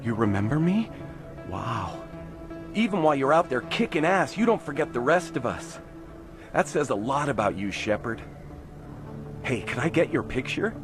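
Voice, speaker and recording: A man's voice: medium pitch, sing-song, moderate pace, pauses often, music in the background, close to an everyday voice. A man playing a naive, starstruck fan in a video game, eager and full of admiration. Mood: awed, admiring. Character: gushing, starstruck male superfan, awed and naive.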